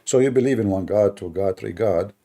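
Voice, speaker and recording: Husky low-voiced man hosting a live stream. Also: brisk, warm tone. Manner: chatty, laughs often, repeats words. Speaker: host of live debate stream. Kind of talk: casual live-stream talk.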